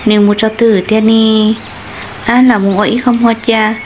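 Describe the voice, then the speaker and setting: A woman voicing a female character in an amateur animated folk tale. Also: fairly high pitch, moderate pace, background noise, lightly acted. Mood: conversational. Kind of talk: amateur cartoon dialogue.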